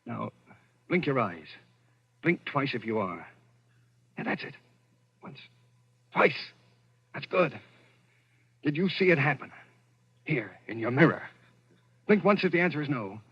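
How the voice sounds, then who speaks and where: An actor playing a driven, insistent man on the run, accused of murder, in a husky voice in 1940s radio drama style. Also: medium pitch, very animated, a little slow, pauses often, exaggerated voice. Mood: intense, insistent. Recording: dull lo-fi old radio recording.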